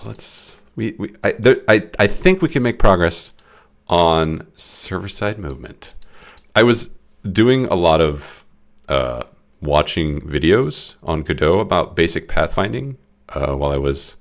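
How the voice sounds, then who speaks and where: A young man with a very low voice, audibly congested and stuffy. He rambles through a late-night coding stream in casual, self-deprecating talk full of restarts. He speaks into a desk mic at home. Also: gravelly. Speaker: game developer streaming his work. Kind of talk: casual live-stream commentary.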